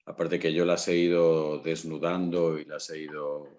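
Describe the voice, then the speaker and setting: A man's voice: very deep voice, steady tone, moderate pace, darkish tone. A man with a Spanish accent from Spain, an actor chatting casually in a discussion, colloquial and repeating himself. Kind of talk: casual post-show discussion.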